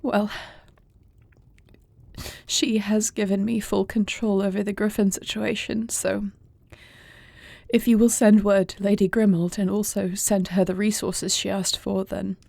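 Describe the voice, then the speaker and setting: Fantasy audio drama role for a woman: grieving warrior princess, soon queen, devoted and formal; mellow, slightly gravelly, recorded close and dry. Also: medium pitch, little pitch movement, unhurried, dry recording. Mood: composed, sorrowful. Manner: formal, earnest archaic phrasing. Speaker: voice actor in an audio roleplay. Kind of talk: fantasy audio roleplay, intimate dramatic acting.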